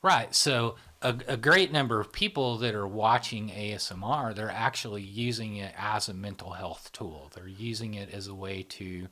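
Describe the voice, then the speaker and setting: A man who founded a channel, explaining in a relaxed casual conversation, with many fillers and restarts. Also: fairly low pitch, moderate pace, hardly any pauses.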